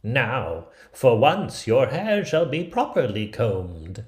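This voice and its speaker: A man voicing a sly, coaxing old peddler woman, really a wicked queen, with drawn-out singsong cries in a storybook reading. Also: mid-range voice, huge pitch swings.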